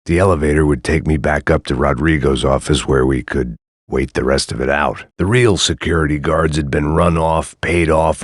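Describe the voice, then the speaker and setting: A cynical middle-aged bodyguard in a video game, with a very deep, dry and sardonic inner monologue. The delivery is flat deadpan with gallows humor, and it is recorded close with no room sound at all. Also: male voice, no echo. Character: weary hard-boiled male bodyguard, middle-aged, cynical.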